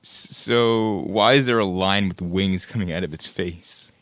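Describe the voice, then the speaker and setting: A man's voice: sits low, unhurried, fairly bright tone, no room sound. A man playing a cocky, clueless young guy in an audio play, with a casual, self-justifying way of talking. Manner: casual, stammering when defensive.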